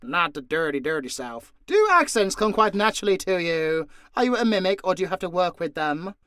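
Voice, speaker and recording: A man's voice: high voice, big pitch swings, brisk, fairly bright tone, clean tone, no echo, clean studio sound. A man with a southern English accent answering questions casually and off the cuff, blunt and joking, with frequent swearing. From southern Britain. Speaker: audio story creator and voice actor. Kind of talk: casual, unscripted Q&A.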